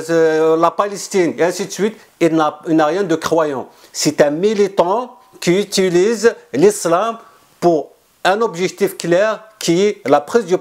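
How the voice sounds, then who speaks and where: A man's voice: high-pitched, a little mellow. A man speaking as an author in an interview, earnest and insistent, often restarting and repeating words. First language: not French. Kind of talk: explanatory interview answers.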